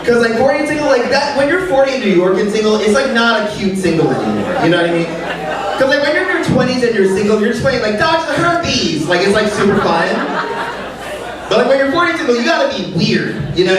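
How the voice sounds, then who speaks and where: A man in his late thirties doing a live stand-up set, animated and exaggerated, swooping into high squeals. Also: a little fast. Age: late 30s. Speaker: stand-up comedian. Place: comedy club stage, live audience. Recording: roomy club sound from a distance, crowd laughter.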